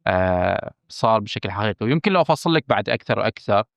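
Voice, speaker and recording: A man with a Bahraini accent, a film director speaking candidly as a podcast guest, emphatic and earnest, often pausing on fillers and restarting. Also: on the low side, expressive, creaky, fairly bright tone, no echo, highs cut off. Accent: Bahraini Arabic. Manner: earnest and emphatic, stressing his frankness, with hesitant fillers and restarts.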